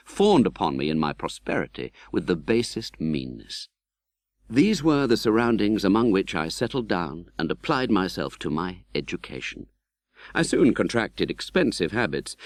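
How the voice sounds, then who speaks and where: A man reading a classic novel as an audiobook, measured and precise. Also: fairly low pitch, no echo. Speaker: audiobook narrator. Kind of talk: scripted literary narration of a classic novel.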